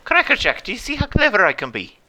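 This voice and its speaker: Wildly animated pirate captain. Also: male voice, very high-pitched, fast, exaggerated voice. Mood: smug. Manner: theatrical, exclamatory, archaic pirate phrasing. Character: boisterous old-salt male pirate captain storyteller, blustery and theatrical. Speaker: storyteller and host. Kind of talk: in-character adventure game narration for children.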